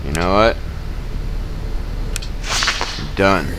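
A man's voice: low-pitched, extremely slow. A man giving casual, dry, sarcastic commentary while playing a game. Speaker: gaming youtuber. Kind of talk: casual let's play commentary. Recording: dull lo-fi mic with loud game music.

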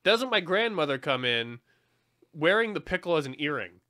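A man co-hosting a casual podcast, telling stories in an animated way and swearing freely. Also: a little high, moderate pace. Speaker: podcast co-host.

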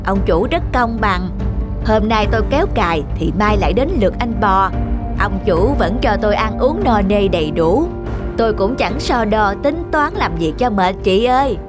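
An actor voicing a patient, hardworking male water buffalo in an animated fairy tale, reasoning calmly and contentedly. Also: medium pitch, moderate pace, music in the background, lightly acted. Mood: calm, content. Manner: calm, reasoning.

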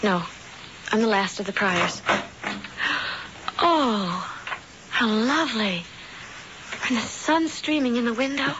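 A wide-eyed small-town girl of eighteen, with a fairly high voice. She is polite, earnest and a little hesitant, in a vintage radio play with dull, noisy sound. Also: slow pace, pauses often. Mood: delighted. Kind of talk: 1970s radio drama acting.

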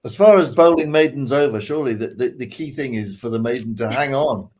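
An older man with a British accent, speaking haltingly as a panelist in a casual discussion on a video call. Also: medium pitch, a little mellow. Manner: hesitant, halting delivery. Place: room at home.

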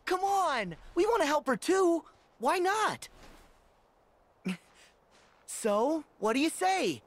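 A man's voice: very high voice, big pitch swings, slow, exaggerated voice. A man voicing an earnest, upbeat teenage boy hero in a video game, with an eager, exclamatory manner. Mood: eager, friendly. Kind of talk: animated video game acting.

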